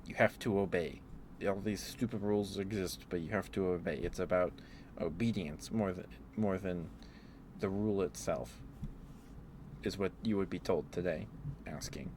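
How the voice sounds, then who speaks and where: A man streaming casual, rambling ASMR chat, telling stories in a halting, meandering way. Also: low-pitched, a little mellow. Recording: clearly audible background noise.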